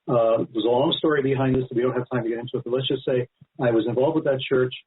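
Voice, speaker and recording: A man's voice: medium pitch, fairly even intonation, fast talker. Phone-line man explaining emphatically. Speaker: guest author. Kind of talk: radio interview, extended explanation. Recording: narrow-band phone-like line.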